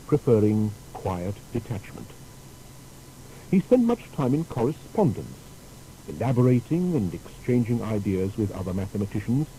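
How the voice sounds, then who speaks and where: A man's voice: lowish voice, low-quality recording. A man narrating a scripted historical biography in a formal, storytelling manner. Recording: steady faint background noise.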